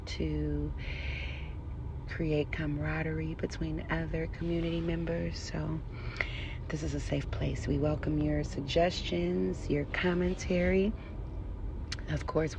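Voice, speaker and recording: A woman's voice: deep voice, creaky, background noise. A woman giving a casual, chatty and welcoming ASMR voiceover as the host of the channel. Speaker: host and narrator of an ASMR scratch-therapy channel.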